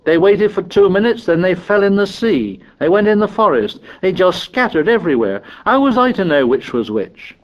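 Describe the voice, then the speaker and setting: An indignant schoolboy, acted by a man, pitched well above an adult voice. He scolds and pleads in rough, ungrammatical British speech, urgent and fearful, as in an audiobook. The recording is a little dull. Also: lively intonation, measured pace. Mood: indignant, exasperated. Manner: breathless tirade in ungrammatical working-class British speech.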